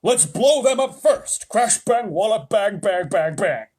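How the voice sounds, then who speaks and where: A man's voice: high voice, big pitch swings, moderate pace, husky, pitched above the performer's own voice, full character voice. A man reading aloud and voicing a warlike male army chief who bellows for explosions. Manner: shouting, gleeful.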